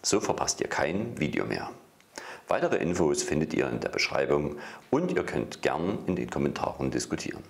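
A man giving a channel outro voice-over with a very deep, gravelly voice. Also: big pitch swings, moderate pace, roomy sound.

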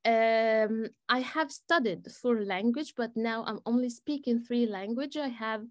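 Woman answering forum questions, kinda high voice, lots of um and uh, dry close mic. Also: no room sound. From Egypt. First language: Arabic. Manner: slow and hesitant, pausing with many fillers; switches between Chinese and English. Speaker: guest speaker answering audience questions. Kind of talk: live Q&A at a student forum.

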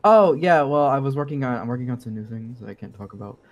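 A man's voice: medium pitch, expressive, fast talker. A young adult man talking casually as a podcast guest, informal, a little hesitant and wry. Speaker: guest, game sound designer. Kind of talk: casual interview chat.

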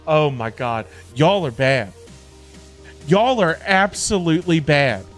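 A man's voice: a little high, lively intonation, takes it slowly. A middle-aged American man hosting a casual gaming livestream, rambling and repeating himself in loose slang. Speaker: livestream host, gamer. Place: indoor home streaming setup. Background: background music.

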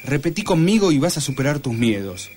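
Profesor argentino zalamero, voz algo aguda. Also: male voice, fairly high pitch, very animated, brisk, a little mellow, slight background noise. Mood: soft, coaxing. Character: scheming, desperate male piano teacher in comic melodrama. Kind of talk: comedic radio play acting.

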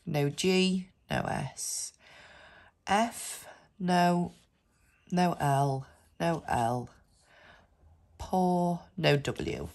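Woman with a stuffy cold, low-ish voice, unhurried chatty reading of numbers and letters. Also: a little mellow. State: congested with a cold. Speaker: scratch card vlogger. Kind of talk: casual narration over scratch card video.

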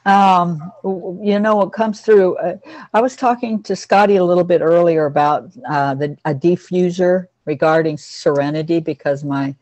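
A woman's voice: lowish voice, moderate pace, a little mellow, no echo. Woman leading a casual pet class. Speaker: host teaching a class on pets. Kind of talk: casual group video-call class.

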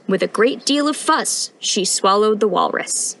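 A young woman reading a picture book aloud at storytime in an expressive, sing-song rhyming delivery, recorded outdoors. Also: mid-range voice, unhurried, vocal fry, low-quality recording. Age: young adult. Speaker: storytime reader, intern. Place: outdoors on a wooden porch.

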